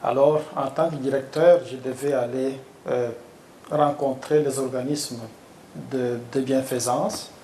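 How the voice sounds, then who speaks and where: Congolese priest, mellow and hesitant. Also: male voice, medium pitch, steady tone, echoey room, a little hiss or hum. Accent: Congolese French. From Democratic Republic of the Congo. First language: Tshiluba. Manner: slow, halting explanations with many hesitations. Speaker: parish priest. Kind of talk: interview.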